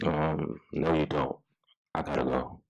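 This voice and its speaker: Very deep, gravelly, quiet young man played by an actor. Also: fairly even intonation, unhurried, dull audio, full character voice. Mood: awkward. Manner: quiet, understated, terse. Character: reserved, brooding young man secretly fixated on neighbor. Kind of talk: live screenplay table read, acting.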